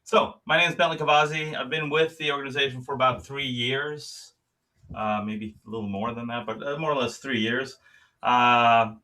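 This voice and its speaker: A man's voice: a little high, bright, forceful tone, recorded from a distance. A man who is a native German speaker, informally chairing a meeting and introducing himself.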